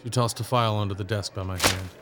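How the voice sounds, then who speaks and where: A man's voice: lowish voice, steady tone, fast talker, heavy background noise, close to an everyday voice. A man playing a demanding, wry task force leader in a scripted audio drama, in dialogue and narration. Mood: narrating, serious.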